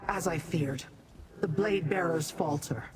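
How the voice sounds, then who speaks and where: An actor voicing a solemn, urgent mystic scholar in a fantasy video game, grave and deliberate. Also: mid-range voice, background noise, close to an everyday voice. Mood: grave urgency.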